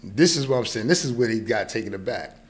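A man's voice: on the low side, expressive, fast talker. A man in his forties with a New York accent, telling a personal story casually as a podcast guest, often checking in with the listener. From New York, United States. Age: 40s. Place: small indoor podcast studio. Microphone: close podcast mic on boom arm.

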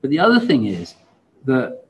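A man's voice: medium pitch, huge pitch swings, moderate pace, muffled recording, a little hiss or hum. A man in his forties speaking casually as a podcast host in conversation. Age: 40s. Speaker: podcast host and law firm founder. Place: indoor room, seated.

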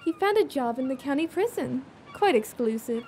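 A woman's voice: sits high, expressive, moderate pace, dark tone, slight background noise. A woman playing a smug, sly genie in an audio drama, poised, formal and teasing. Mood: serene, teasing. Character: smug, sly female genie, calm and worldly. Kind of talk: audio theater acting.

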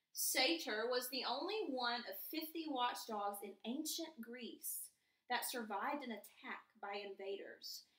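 Young woman, primary teacher type, high-pitched, measured read-aloud delivery for kids, roomy indoor sound. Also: fairly bright tone. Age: young adult. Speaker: elementary school teacher. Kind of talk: children's read-aloud and chat to pupils. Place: small plain room indoors. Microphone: camera mic a few feet away. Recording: echoey small room.

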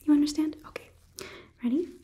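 Casting: young woman as clinical robot overlord, female, breathy close whisper, condescending but polite ASMR roleplay, highish soft voice, noisy hiss. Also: steady tone, a little fast, lightly acted. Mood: clinical, instructive. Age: 20s. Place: small indoor studio set. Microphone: close ASMR microphone.

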